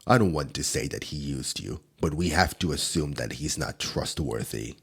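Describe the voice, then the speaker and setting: A man playing a stern male demon enforcer who is gruff but protective, speaking in a measured, scolding way in an ASMR audio roleplay. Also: lively intonation, heavily acted. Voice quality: deep gravelly husky voice. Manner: scolding, measured, low-key delivery.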